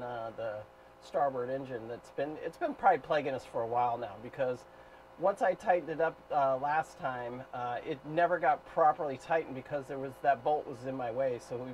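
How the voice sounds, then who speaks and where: A man's voice: medium pitch, a little hiss or hum, low-quality recording. A man in his fifties walking through a boat repair in a cramped engine room, talking plainly as he works. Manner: plain practical talk with fillers, thinking aloud while working. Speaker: boat owner vlogger. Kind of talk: hands-on repair walkthrough. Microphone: action camera mounted in the engine room. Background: running boat engine.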